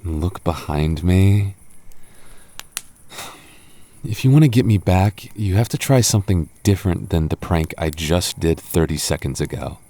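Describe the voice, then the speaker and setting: Deep-voiced man, teasing boyfriend roleplay. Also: unhurried, dark tone.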